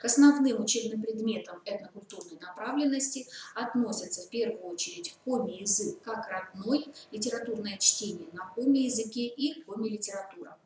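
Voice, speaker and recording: A woman's voice: medium pitch, moderate pace, darkish tone. Woman giving a formal talk, lots of uhs, roomy echo, faint hiss in the back. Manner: hesitant, frequent "uh" fillers. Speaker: seminar speaker. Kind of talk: formal lecture. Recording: echoey room, mic far off, faint noise.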